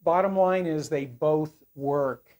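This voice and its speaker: Older man, slow and rambling. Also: fairly high pitch, a little mellow. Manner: rambling, halting delivery. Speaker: product reviewer. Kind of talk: casual product review.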